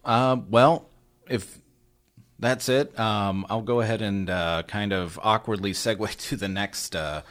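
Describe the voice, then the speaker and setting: Hesitant man hosting, low voice. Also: steady tone, measured pace, fairly bright tone. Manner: hesitant, lots of fillers. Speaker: host. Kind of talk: casual interview.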